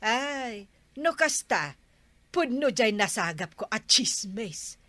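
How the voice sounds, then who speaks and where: Bright, nosy Ilocano gossip. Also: female voice, fairly high pitch, very animated, slow, dry recording, full character voice. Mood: nosy, teasing. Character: nosy gossiping female neighbour, teasing and cheery. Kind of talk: Ilocano radio comedy drama.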